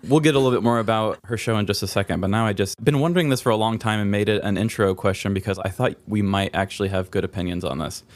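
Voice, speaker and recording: Low-voiced man chatting as podcast host. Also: fast, hardly any pauses. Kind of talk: casual storytelling chat.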